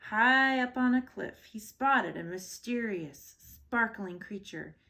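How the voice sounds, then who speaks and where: A woman's voice: on the high side, expressive, slow, vocal fry, sharp tone. A woman reading a children's picture book aloud as a bedtime story, expressively and with short asides. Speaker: storybook reader.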